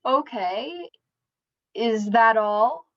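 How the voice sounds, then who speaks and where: A woman's voice: fairly high pitch, expressive, drawn-out words, heavily acted. A young woman acting in a radio play as an earnest, incredulous interviewer questioning a witness, over a video call. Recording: dull video call audio.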